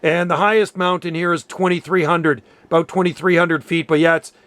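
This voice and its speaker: Clear, higher-pitched Canadian man chatting on radio. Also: steady tone, fairly quick, a bit bright, no echo. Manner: chatty, informal, says "man" often. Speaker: amateur radio operator. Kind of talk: casual radio chat.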